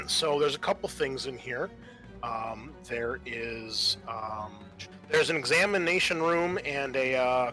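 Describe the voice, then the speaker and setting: A man acting as game master, narrating an improvised tabletop horror game with halting but vivid, dramatic descriptions. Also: mid-range voice, bright, forceful tone. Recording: music playing behind.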